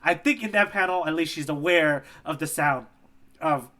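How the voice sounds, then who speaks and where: A man's voice: a little high, moderate pace, pushed and bright. A man co-hosting a casual manga readalong podcast, talking in an informal, enthusiastic way. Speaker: podcast co-host.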